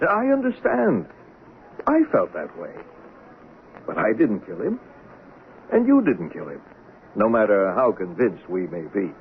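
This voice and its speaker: An actor playing a patient, placating police official who speaks haltingly in a 1970s radio drama. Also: male voice, on the low side, very animated. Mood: persuasive, soothing. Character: soothing, hesitant police official humoring a deluded woman. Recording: narrow, hissy old radio broadcast.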